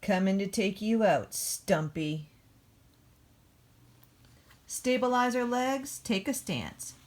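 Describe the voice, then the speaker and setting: Woman reading as an eager, slow-paced cartoon backhoe. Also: medium pitch. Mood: straining, determined. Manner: expressive, playful, emphatic storybook reading. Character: eager, boastful cartoon backhoe loader who loves dirty work. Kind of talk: picture-book read-aloud.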